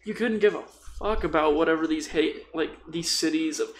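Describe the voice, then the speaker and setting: A man's voice: fairly high pitch, fairly quick. A man chatting casually and bluntly about tabletop role-playing, with strong opinions, swearing and slang. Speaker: podcast guest.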